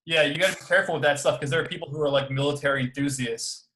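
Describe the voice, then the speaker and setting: A man from the United States hosts a casual interview, firing off quick, easygoing questions and short replies. His voice sits a little higher than most men's. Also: steady tone, sharp tone, rough recording. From United States (Denver). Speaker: host.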